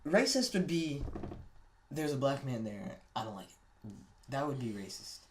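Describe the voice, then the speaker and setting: A young man co-hosting a casual podcast debate, with a middle-pitched voice. He talks in quick bursts, restarts often and presses his points hard. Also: very animated. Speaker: podcast co-host.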